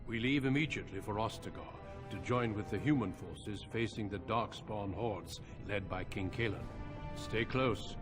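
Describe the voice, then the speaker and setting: An actor playing a grave, seasoned commander of a warrior order in a fantasy game, speaking in a formal, measured, solemn way. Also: male voice, lowish voice, lightly acted. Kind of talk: fantasy game dialogue and scripted narration. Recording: orchestral game music behind voice. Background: music.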